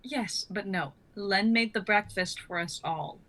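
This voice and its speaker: A woman's voice: mid-range voice, measured pace, pushed and bright. A woman playing a protective, motherly hobbit in an amateur fantasy script reading, stern but caring and earnest.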